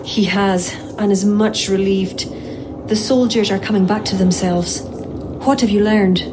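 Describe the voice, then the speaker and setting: A woman's voice: mid-range voice, fairly even intonation, measured pace, noisy background, lightly acted. An actress playing a grave, knowing Irish woman in a video game, earnest and explanatory, with an Irish accent. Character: grave, knowing female Irish poet guide.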